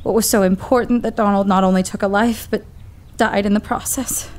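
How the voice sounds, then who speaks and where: A woman's voice: medium pitch, fairly even intonation, a little fast, darkish tone, natural voice. A woman acting a distraught but determined young woman in an audio drama. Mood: resolute.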